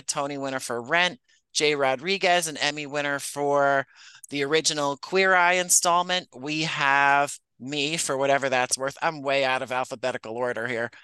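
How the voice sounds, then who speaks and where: Male interview guest, writer-producer type. Clean, bright voice, close dry sound, conversational delivery. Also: fairly high pitch, smooth, dry recording, low-quality recording.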